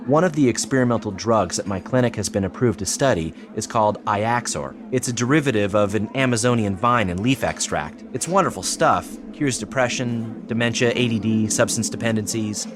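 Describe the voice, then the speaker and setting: An earnest male psychiatrist played by a man speaks in a lowish voice, measured and persuasive, as in an audio drama. He lays out his case solemnly over clearly noisy ambience. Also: natural voice.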